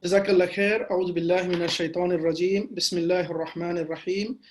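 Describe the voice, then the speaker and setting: A man from Bangladesh, a Bengali speaker, giving a measured webinar lecture over a video call with frequent fillers. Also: high-pitched, level tone, slight background noise. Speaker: guest lecturer.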